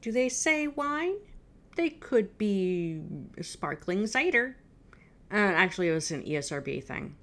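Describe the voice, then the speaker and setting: A woman's voice: mid-range voice, sing-song, unhurried, a bit bright. A woman in her thirties giving casual, off-the-cuff reaction commentary at home. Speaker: reaction video host. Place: indoor room at home.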